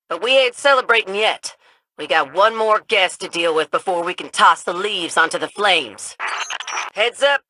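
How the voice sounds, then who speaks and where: A woman's voice: mid-range voice, background noise, natural voice. An actress playing a folksy, upbeat woman who guides fighters in a video game. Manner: folksy, informal drawl. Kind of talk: video game mission voice lines.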